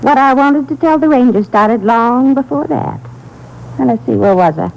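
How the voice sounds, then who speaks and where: High-pitched, fussy older lady gossip. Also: moderate pace, gravelly, a little mellow, highs cut off. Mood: fussy, rambling. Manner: rambling, fretful, scandalized. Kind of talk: 1950s radio drama acting. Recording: vintage radio drama recording.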